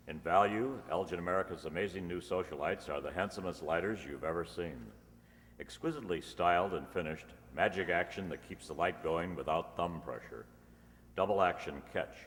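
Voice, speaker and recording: A man acting as a polished announcer and sponsor pitchman in an old-time radio show. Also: fairly bright tone. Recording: echoey hall, long reverb.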